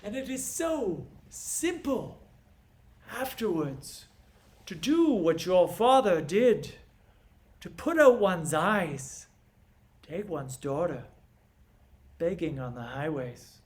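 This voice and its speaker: A man playing a proud, scornful and stern king in a classical stage monologue, with theatrical, deliberate, emphatic delivery and dramatic pauses. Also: high-pitched, lively intonation. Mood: bitter, brooding.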